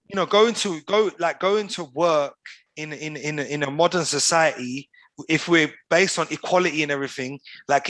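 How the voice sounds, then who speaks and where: Animated man telling stories. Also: fairly high pitch, no echo. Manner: animated storyteller, uses slang like "bro" and "you get me". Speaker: podcast guest. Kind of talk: casual storytelling over video call. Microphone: video call.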